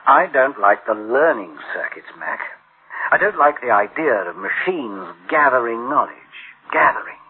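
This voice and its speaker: An actor playing an uneasy, conscience-stricken boss in a radio drama, grave and halting as he broods. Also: medium pitch, big pitch swings, narrow, tinny audio, lightly acted. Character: uneasy, conscience-stricken male boss dreading his machines. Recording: band-limited old radio drama sound.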